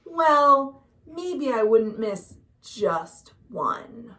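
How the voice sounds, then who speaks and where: A woman's voice: on the high side, big pitch swings, extremely slow, fairly bright tone, heavily acted. A woman voicing a vain, proud young male fish in a children's storybook, with exaggerated, emphatic expression. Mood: wavering.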